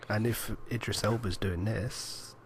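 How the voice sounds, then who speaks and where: A man's voice: lowish voice, steady tone, unhurried. A man co-hosting a podcast and chatting casually about films. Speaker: podcast co-host. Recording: noisy amateur podcast recording.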